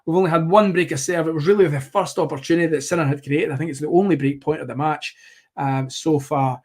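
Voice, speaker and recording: A man hosting a live tennis watchalong, commentating play by play in a rambling, self-correcting way, slightly hoarse. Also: fairly high pitch, fairly even intonation, quick pace, a little mellow.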